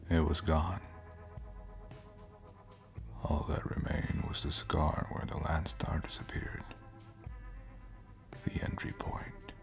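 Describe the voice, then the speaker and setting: An ancient, coldly resolute space captain speaks in an extremely low, breathy voice. He is brooding and homesick, and every line comes slowly and solemnly, as audio drama over clear background music. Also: little pitch movement, full character voice. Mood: somber. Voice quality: gravelly, breathy near-whisper. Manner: slow, solemn, deliberate storytelling. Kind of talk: scripted audio roleplay drama.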